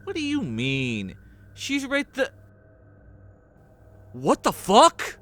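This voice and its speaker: A man acts out a jumpy young man in a horror audio drama. His voice sits well above the performer's usual one and gets loud, with a panicky, exclaiming edge. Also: extremely high pitch, huge pitch swings, very slow, a little hiss or hum, voice pushed up, heavily acted. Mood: alarmed, bewildered.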